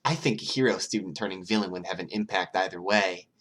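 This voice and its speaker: A man voicing a friendly, calculating young male strategist, calm and supportive in a read-aloud story. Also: low-pitched, fast talker. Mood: approving.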